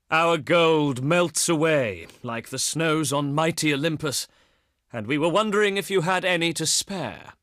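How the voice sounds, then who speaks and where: Ancient Greek diplomat for a strategy game: higher-pitched, forceful, ceremonious, pious and honey-tongued. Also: male voice, no room sound, clean studio sound, heavily acted. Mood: humble, wheedling. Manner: declamatory, ceremonious, deliberate phrasing. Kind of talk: theatrical scripted game dialogue.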